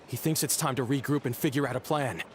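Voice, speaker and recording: A man's voice: medium pitch, fast, a little hiss or hum, natural voice. An actor playing a polite adult townsman in a video game who brings urgent news with weary sighs.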